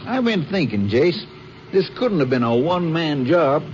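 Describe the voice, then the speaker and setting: A practical county sheriff who talks things through in a plain, thoughtful way with a somewhat higher voice. The sound is muffled old radio with faint hiss. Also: male voice, big pitch swings, moderate pace, a little mellow.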